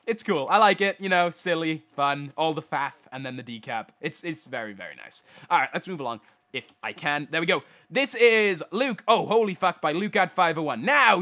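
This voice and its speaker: A man's voice: fairly high pitch, sharp tone. A young man with a blocked nose from a cold, casually commentating game replays as the show's host, rambling and stumbling over names.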